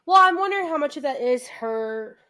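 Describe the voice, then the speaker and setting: An American woman co-hosting a podcast, chatting casually, animated and quick to restart her sentences. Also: sits high. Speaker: podcast co-host. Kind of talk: casual chat.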